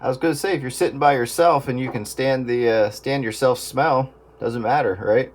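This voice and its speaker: Clear radio noise surrounds a man with an average-pitched voice. He chats casually about technical matters as a hobby operator, in a halting way with repeated words. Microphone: radio transceiver microphone. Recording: noisy radio transmission with static.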